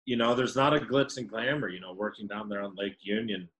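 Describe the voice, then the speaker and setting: A man with a deep, booming voice, a podcast guest telling a rambling, casual story with many fillers. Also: steady tone, fairly quick, fairly bright tone. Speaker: podcast guest, restaurant server.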